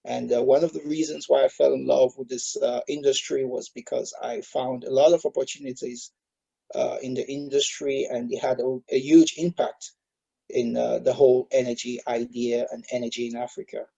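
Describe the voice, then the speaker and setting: A man's voice: medium pitch, fairly even intonation, moderate pace, gravelly, dark tone, breathy edge, dull audio. A man in his thirties from Cameroon, a guest in an online interview, speaking hesitantly with many filler sounds. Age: 30s. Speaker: guest, solar industry expert.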